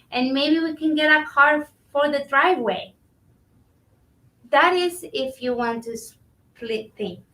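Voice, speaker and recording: A woman's voice: high-pitched, sharp tone, exaggerated voice. A woman in a staged play reading playing a hopeful, pleading woman who dreams of a shared home. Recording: echoey room, far from mic.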